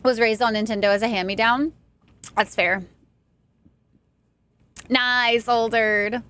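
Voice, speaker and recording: A young woman from Texas streaming a game, chatting casually with her viewers, self-deprecating and quick to laugh. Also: fairly high pitch, steady tone, fairly bright tone, smooth. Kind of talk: casual chat with stream chat while gaming.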